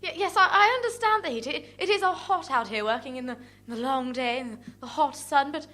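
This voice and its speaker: A woman's voice: sits high, lively intonation, fairly quick, bright, forceful tone. A woman playing a flustered, giddy young car parker in an improvised comic radio play, stammering and fluttery with theatrical politeness. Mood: weary, complaining.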